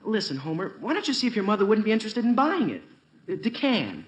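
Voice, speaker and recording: An actor playing an earnest, scheming, awkward teenage schoolboy in old radio comedy style, with a hesitant, stammering delivery. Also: male voice, high voice, wildly swooping pitch, fast talker. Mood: anxious, insistent. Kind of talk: 1940s radio comedy acting. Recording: roomy old-time radio recording, faint hiss.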